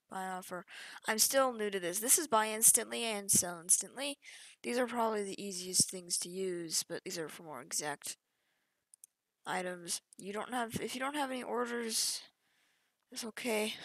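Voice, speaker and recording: Casual gamer woman, mid pitch, chatty and offhand, dry close recording. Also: fairly bright tone, no room sound, rough recording. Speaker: gaming youtuber. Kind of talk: casual gameplay walkthrough.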